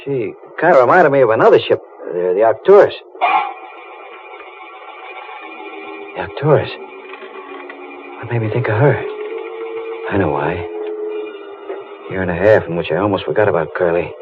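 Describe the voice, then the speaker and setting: An actor playing a troubled, guilt-haunted deep-sea diver in old-time radio drama, halting and brooding. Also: male voice, lively intonation, over music, close to an everyday voice. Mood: flustered. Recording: muffled, noisy old radio recording.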